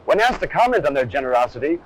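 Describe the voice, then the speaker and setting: A man's voice: fairly high pitch, very animated, fast, poor audio. A man giving scripted, dramatic documentary narration on a harsh, over-modulated old film soundtrack. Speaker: presenter of an army training film.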